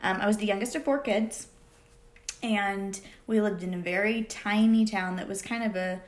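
A woman's voice: mid-range voice, fairly even intonation, moderate pace, roomy sound. A woman from Thailand chatting casually as a podcast guest, telling stories about herself in a self-deprecating way. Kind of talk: casual chat.

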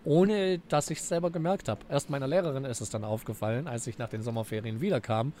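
A man with a Swabian accent tells relaxed stories as a podcast guest, drawing out some phrases. His voice sits in a middle pitch, with a faint hum of noise behind him. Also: quick pace. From Swabia, Germany. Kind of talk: casual chat.

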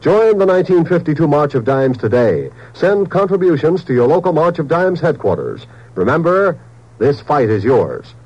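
A man's voice: fairly high pitch, very animated, normal speed, a little mellow, slightly rough. A man reading a scripted 1950s radio charity appeal as an announcer, earnest, solemn and emphatic. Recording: dull old-time radio recording.

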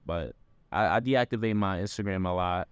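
A man's voice: sits low, normal speed, clean tone, dry recording. A young man from Jamaica in a casual group chat, laid-back and slangy, often saying "bro". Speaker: member of a creative group.

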